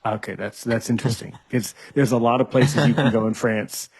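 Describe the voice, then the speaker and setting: This is a man hosting a casual podcast interview over a video call. His voice sits at an ordinary pitch, and he teases and jokes with his guest, restarting sentences often and leaning on "you know". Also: steady tone, brisk, darkish tone, slightly rough, low-quality recording. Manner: joking, teasing, many restarts and "you know". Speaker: podcast host.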